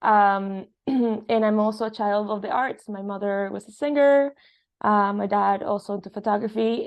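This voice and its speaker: Earnest, creaky-voiced woman being interviewed. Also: medium pitch, a little mellow. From born in Argentina, grew up in Spain and Italy. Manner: earnest, reflective. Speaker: guest, dance filmmaker. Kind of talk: conversational interview, personal reflection.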